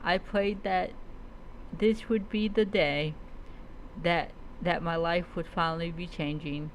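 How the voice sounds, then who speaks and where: A woman's voice: lowish voice, fairly even intonation, slow pace, slight background noise, poor audio. A woman reading a first-person adventure story aloud as a role-play storyteller, stumbling and repeating words as she goes.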